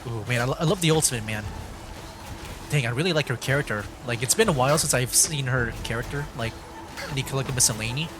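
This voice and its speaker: A man streaming games with relaxed, informal, casual commentary. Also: medium pitch, fast, noisy background. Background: game music.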